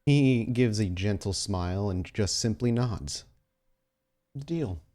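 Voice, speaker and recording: Male game master: low register, narrates tabletop roleplay scenes as storyteller. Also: unhurried, dark tone.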